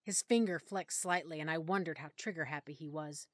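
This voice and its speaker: A woman's voice: deep voice, brisk, no room sound, clean studio sound. Woman narrating an audiobook in first person. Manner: expressive, clear storytelling. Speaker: narrator and lead character.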